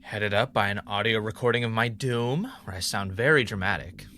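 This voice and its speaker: A man's voice: on the low side, normal speed, pushed and bright, soft background music. An actor playing a wry, dramatic man who hosts an investigative podcast, in a conversational audio drama style. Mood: brisk, concerned. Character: male ex reality-show contestant turned investigative podcaster, wry, dramatic. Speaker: podcast host. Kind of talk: naturalistic audio drama acting, conversational narration.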